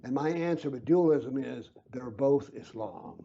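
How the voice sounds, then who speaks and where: A man being interviewed who explains his views earnestly and emphatically, sometimes dropping to a low whisper to stress a point. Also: measured pace, creaky, dark tone, lo-fi sound, a little hiss or hum. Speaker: interview guest, author.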